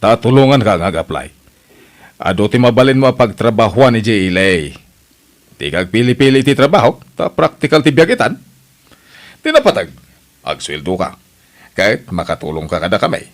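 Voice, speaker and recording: Gravelly, scolding Ilocano household patriarch played by a man. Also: fairly low pitch, big pitch swings, normal speed, long pauses. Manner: scolding, blunt. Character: stern, nagging man of the household, impatient. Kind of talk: Ilocano radio drama acting.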